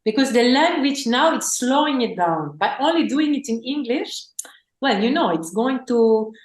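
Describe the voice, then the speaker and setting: Effusive higher-voiced woman, echoey room. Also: lively intonation, normal speed. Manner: effusive, rambling, frequent restarts. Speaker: guest, spiritual teacher. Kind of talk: enthusiastic interview talk.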